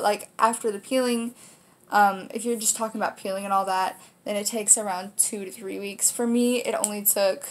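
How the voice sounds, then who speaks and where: Rambling young American woman, casual. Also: medium pitch. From United States, Kansas. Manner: informal, rambling explanations with casual swearing. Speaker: video host. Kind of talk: casual vlog chat to camera. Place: small room indoors.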